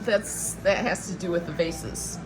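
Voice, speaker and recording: A woman's voice: on the low side, unhurried, noisy background. A woman chatting casually as a co-host, reacting to a story with amused, teasing interjections.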